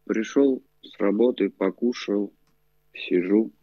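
A man's voice: fairly low pitch, takes it slowly, no echo. A man in his forties from Baku, a guest on a remote video-call interview, telling his story at home with many fillers and restarts. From Baku, Azerbaijan. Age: 40s. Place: living room at home. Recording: tinny thin video-call audio, dry.

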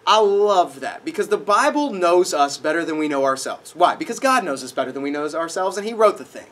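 A young man preaching a sermon in a dark auditorium, a little nasal from a cold, animated and acting out voices in his stories. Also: on the high side, brisk. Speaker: pastor. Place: dark auditorium with projected screen.

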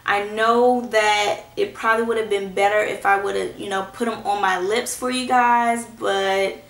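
Brief: hoarse young woman, casual beauty vlog, chatty and reassuring, roomy camera sound. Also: mid-range voice, fairly even intonation, normal speed, a bit bright. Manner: chatty and reassuring, self-teasing. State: hoarse from a cold. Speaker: beauty vlogger. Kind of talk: casual product review. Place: small indoor room at home. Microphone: camera in front at close range. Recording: echoey room.

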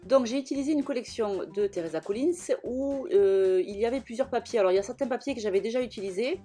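A young woman narrating her own craft video in a casual, halting way, full of fillers and restarts. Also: a little high, mellow, slightly rough, soft background music. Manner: hesitant, many fillers and restarts. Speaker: scrapbooking vlogger.

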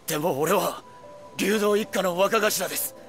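A man's voice: high-pitched, unhurried, a bit bright, faint music behind. A man playing a hot-blooded, loyal young yakuza underboss in a video game drama, earnest and pleading with his boss. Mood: pleading, stubborn.

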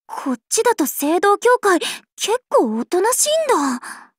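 An actress playing a cheerful, playful young vampire princess in an anime game, speaking in a bubbly, teasing, animated way. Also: female voice, extremely high pitch, unhurried, dry recording, studio quality, heavily acted. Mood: cheerful, chatty. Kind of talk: anime game character voice lines.

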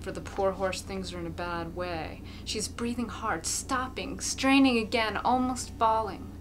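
A woman's voice: mid-range voice, a little slow, noisy background. A woman reading a literary story aloud as an amateur narrator, in a dramatic, hammy style. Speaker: amateur reader.

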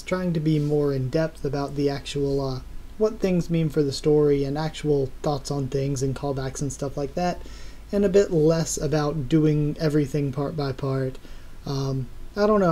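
A young man in his twenties reviewing a webcomic to his webcam from a small room, hoarse from losing his voice and rambling with many ums and self-corrections. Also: a little high, warm tone. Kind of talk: casual review monologue to camera. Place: small room indoors, plain wall.

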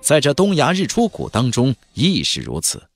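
A man narrating a fantasy audiobook as a dramatic, expressive storyteller. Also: mid-range voice, normal speed, faint music behind.

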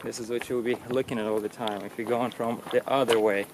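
A man's voice: mid-range voice, flat delivery, quick pace. Man vlogging on a noisy trail. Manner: chatty, talks straight to camera while hiking. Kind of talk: casual travel vlog. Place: outdoor mountain trail. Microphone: handheld camera at arm's length. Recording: loud outdoor noise on camera mic.

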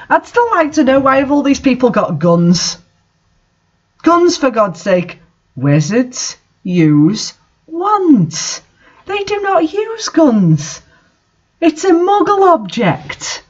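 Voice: mid-range voice, lo-fi sound. A narrator reading fan fiction for comedy, breaking off for exasperated, mocking asides. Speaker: reader and commentator.

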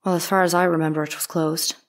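Courtroom drama: plain-spoken female servant testifying, played by a woman, low-pitched, terse, little inflection. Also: level tone, fast, natural voice. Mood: reserved, matter-of-fact. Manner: terse, matter-of-fact answers. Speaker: actor in a dramatic reading. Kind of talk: courtroom testimony, dramatic reading of trial transcript.

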